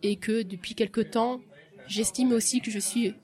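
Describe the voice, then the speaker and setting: A woman's voice: a little high, steady tone, normal speed. Reflective, hesitant woman. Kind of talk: testimony interview.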